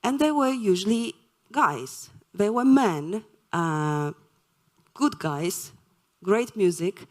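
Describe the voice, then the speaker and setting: A woman from Poland giving a live lecture, speaking slowly and hesitantly with many fillers. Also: mid-range voice, lively intonation. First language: Polish.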